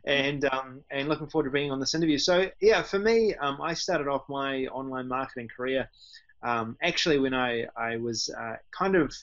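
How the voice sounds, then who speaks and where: Kiwi guy telling his story casually, kinda halting, mid pitch, muffled lo-fi sound. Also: fairly bright tone. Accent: New Zealand. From New Zealand. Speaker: interview guest, internet marketer. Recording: dull lo-fi call audio.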